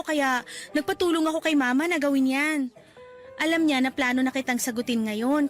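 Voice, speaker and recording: A woman's voice: sits high, natural voice. A woman acting a sweet, playful young girlfriend in a radio drama. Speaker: voice actress.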